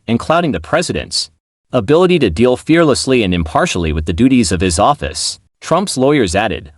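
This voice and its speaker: A man's voice: medium pitch, no echo, clean studio sound. A man narrating scripted news as a newsreader.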